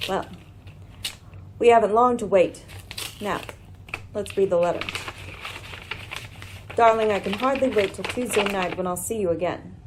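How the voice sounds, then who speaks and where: A woman playing a coolly commanding lady detective in a radio mystery, measured and probing with dry irony. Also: mid-range voice, noisy background, close to an everyday voice. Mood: thoughtful. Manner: measured, probing, dryly ironic. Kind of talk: old-time radio mystery acting.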